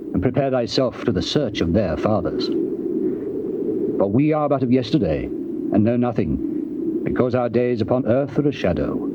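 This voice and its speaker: An actor playing a stern, righteous counselor who reproves in formal, rhetorical scripture drama. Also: male voice, medium pitch, close to an everyday voice. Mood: earnest admonishing. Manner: rhetorical, admonishing, formal archaic diction. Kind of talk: dramatized scripture reading, stage-trained acting. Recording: noisy, muffled old cassette tape.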